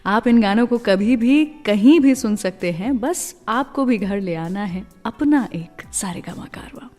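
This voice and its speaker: A woman's voice: medium pitch, expressive, measured pace, darkish tone, long reverb tail. An Indian woman presenting a music radio show in a conversational, chatty storytelling way, slipping between Hindi and English phrases.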